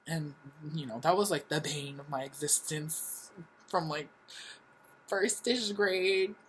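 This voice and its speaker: A man's voice: fairly high pitch, slow, slight background noise, low-quality recording. A young man born in Korea, telling his life story casually in a reflective vlog, full of fillers. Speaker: vlogger.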